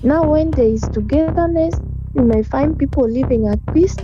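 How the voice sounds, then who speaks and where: Higher-voiced Ugandan woman in interview. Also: moderate pace, dull audio, over music. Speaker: interviewee, youth group member. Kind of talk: unscripted interview account.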